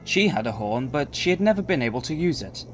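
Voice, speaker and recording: A man's voice: mid-range voice, very animated, a little fast, faint music behind, close to an everyday voice. A man delivering scripted storybook narration as the narrator of an audio drama.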